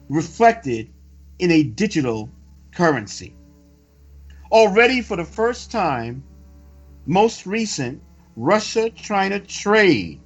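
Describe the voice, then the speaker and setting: Slow-paced, higher-voiced man reading solemnly. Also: slightly rough. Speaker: religious report reader and commentator. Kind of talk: scripted narration of a news report with preacherly commentary. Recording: dull lo-fi audio with music. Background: background music.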